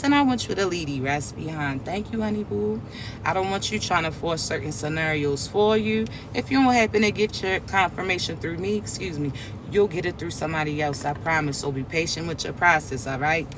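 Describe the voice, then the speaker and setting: A man with a raspy voice, giving a casual spiritual message reading to his viewers with soothing, repetitive check-ins. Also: sits high, fairly quick, background noise. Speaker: spiritual reader.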